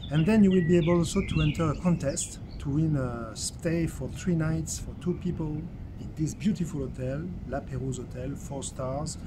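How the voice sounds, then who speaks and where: A man giving an enthusiastic promotional welcome, pausing with fillers as he searches for words. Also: on the high side, noisy background. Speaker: tourism presenter.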